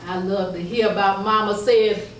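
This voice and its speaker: An older woman telling family stories in a folksy style to a live audience, chuckling through drawn-out asides. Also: medium pitch, steady tone, a little hiss or hum. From North Carolina. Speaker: storyteller. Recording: echoey room heard from a distance.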